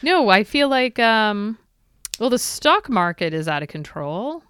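A woman's voice: a little high, big pitch swings, a little slow, fairly bright tone, clear, resonant tone, no echo, studio quality. Woman on a podcast chatting away, giggly, voice bouncing up and down a lot. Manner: chatty and giggly, telling trivia. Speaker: podcast co-host. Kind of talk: casual chat.

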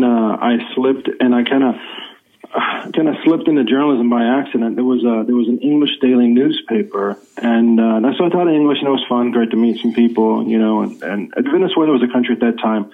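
An American man in his forties, a journalist guest, speaking in a rambling, halting way with many fillers. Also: mid-range voice. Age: 40s. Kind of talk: remote interview. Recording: tinny phone line.

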